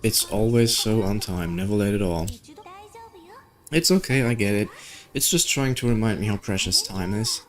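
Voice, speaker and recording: A man's voice: on the low side, normal speed. A man who streams games, reading story dialogue aloud and commenting casually with offhand, skeptical asides. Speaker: gaming streamer. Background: game music.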